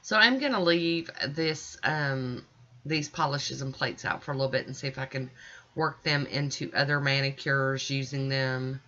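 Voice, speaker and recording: Casting: American woman, middle-aged, bright forward voice, informal folksy tutorial narration, slightly muffled home recording. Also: rumbling low. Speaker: nail art vlogger. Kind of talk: casual tutorial voice-over.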